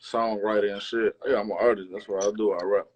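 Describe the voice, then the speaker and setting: Low-voiced slangy man chatting casually. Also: level tone, fairly quick, darkish tone. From Chicago, United States. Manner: slangy, profane, informal. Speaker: rapper, interview guest. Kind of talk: casual chat. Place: remote livestream interview.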